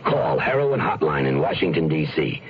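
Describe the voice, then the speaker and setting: A man's voice: a bass voice, very animated, moderate pace. A man reading a public service announcement in a firm, directive manner. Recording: dull, noisy radio recording.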